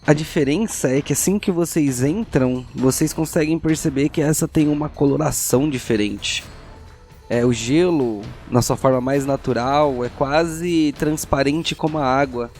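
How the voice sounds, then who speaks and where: A Brazilian man with a slightly high voice acts as game master. He narrates a fantasy tabletop scene in measured, descriptive phrases with deliberate pauses. Soft music plays behind him.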